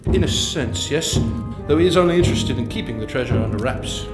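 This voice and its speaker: A man playing a wry, teasing mentor in a radio drama, formal and unhurried as he guides treasure hunters. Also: mid-range voice, lively intonation, lightly acted. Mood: measured, knowing. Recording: roomy echo, music underneath. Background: music.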